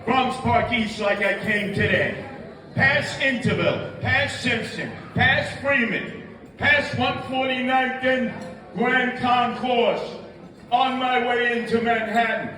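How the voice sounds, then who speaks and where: A man's voice: very high voice, bright, forceful tone. A man giving an impassioned rally speech, emphatic and repetitive, declaiming his points. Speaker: rally speaker and radio host. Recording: echoey public address from far off.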